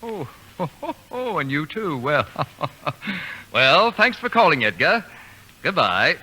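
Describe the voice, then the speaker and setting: An actor playing a pompous, erudite, unflappable gentleman with precise formal diction, in the manner of old radio comedy. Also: a little high, very animated, takes it slowly, exaggerated voice. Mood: smug, composed. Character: pompous, imperturbable, erudite middle-aged gentleman college student. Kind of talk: old American radio comedy-drama acting. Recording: noisy vintage radio broadcast recording.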